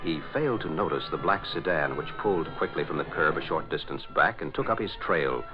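A man's voice: a bass voice, expressive, fairly quick. A man narrating a scripted radio drama in 1940s style. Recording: dull old radio recording, music.

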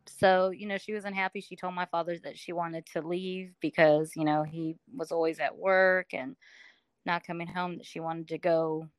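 A woman's voice: fairly low pitch, fairly even intonation, moderate pace, clean tone, no room sound. A middle-aged woman from Alabama, telling her story as a guest in a casual interview, chatty with many fillers.

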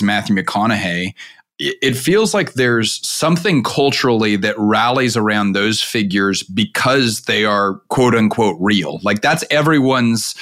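A man's voice: fairly low pitch, a bit bright. A man hosting an interview, thinking aloud at some length before he asks his question. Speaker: interview host.

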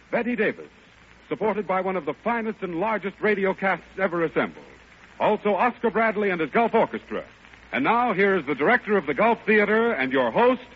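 A man introducing a 1940s radio show as its announcer in a grand, formal, projected style. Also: high voice. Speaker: opening announcer. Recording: muffled, faintly noisy vintage radio recording.

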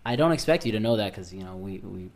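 A woman's voice: rumbling low, lively intonation, quick pace, a little mellow. A woman in her twenties hosting a podcast, teasing her friends in loose, profane banter. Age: 20s. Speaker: podcast host. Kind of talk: casual chat.

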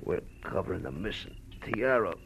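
An actor playing an injured male insurance investigator, groggy and in pain, halting and mumbling in 1940s radio style. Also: low voice, sing-song, vocal fry, heavily acted. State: weak, in pain, fading. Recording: noisy old radio drama recording.

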